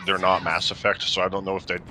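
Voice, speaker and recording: A man with a deep voice chats quickly and casually, offering opinions as a co-player on a game stream. Very loud gunfire and artillery from the game run behind him. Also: completely flat delivery, background noise. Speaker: co-player chatting on a gaming stream. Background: loud video game gunfire and artillery.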